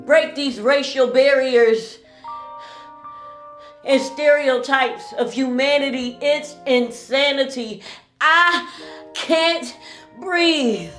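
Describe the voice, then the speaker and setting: A woman performing spoken word poetry with a slow, pleading, mournful delivery. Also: high voice, fairly bright tone, roomy sound, soft background music.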